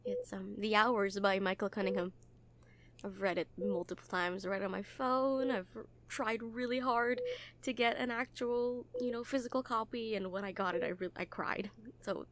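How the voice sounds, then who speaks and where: A woman's voice: medium pitch, steady tone, moderate pace, natural voice. A woman playing a kind, earnest nurse in an audio roleplay, talking to a patient in a hesitant, reassuring, conversational way. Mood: shy, confiding. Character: kind, earnest, gently teasing hospital nurse comforting a patient. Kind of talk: roleplay audio acting, soft comfort talk.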